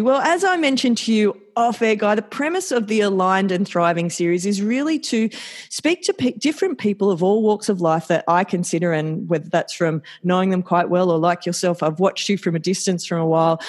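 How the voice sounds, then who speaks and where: A woman hosting an interview, introducing her guest enthusiastically and asking questions. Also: medium pitch. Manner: enthusiastic, lively, playful. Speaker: podcast host.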